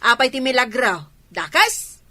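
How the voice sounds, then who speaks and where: A woman's voice: high voice, very animated, measured pace, sharp tone, exaggerated voice. A woman playing a gossipy, insinuating neighbour in a radio drama, with an Ilocano accent. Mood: defensive.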